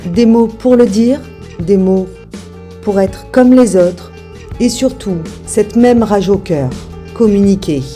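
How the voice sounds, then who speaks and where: A woman reading a scripted corporate voice-over in an earnest, measured way. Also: medium pitch. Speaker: narrator. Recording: narration over loud guitar music.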